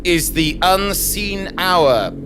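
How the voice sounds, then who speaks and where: An actor playing a pompous, self-regarding investigative journalist, narrating his case deliberately in a mock-noir audio drama. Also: high voice, expressive, music in the background, full character voice. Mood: grave, portentous.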